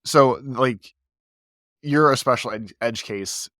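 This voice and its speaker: A man's voice: medium pitch, takes it slowly, pushed and bright, no room sound, studio quality. A man co-hosting a podcast in casual chat, stuffy from a cold, rambling with lots of fillers. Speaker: podcast co-host.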